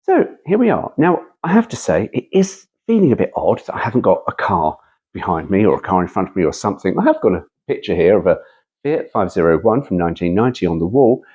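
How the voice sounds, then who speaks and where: A man's voice: sits low, big pitch swings, fast talker, darkish tone, breathy edge, dull audio. A man hosting a car vlog, chatting casually and reading brochure copy aloud, with playful, rambling asides. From United Kingdom. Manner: rambling, playful, frequent self-interrupting asides.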